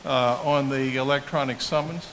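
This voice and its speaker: Behind a clear layer of hiss, a man chairs a town council meeting. His voice sits at a medium pitch. He speaks formally and deliberately, with halting pauses as he works through procedure. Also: steady tone, fairly bright tone. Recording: hissy public meeting room microphone.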